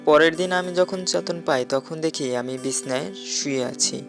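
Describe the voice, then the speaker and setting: A woman's voice: deep voice, measured pace, music in the background. A woman narrating a scripted first-person horror story as its storyteller.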